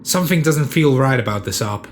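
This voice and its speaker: A man acting as a cautious, uneasy space soldier with a medium-pitched voice. It is audio drama, with faint noise behind him. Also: lively intonation, fairly quick, natural voice. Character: uneasy, cautious male shock trooper.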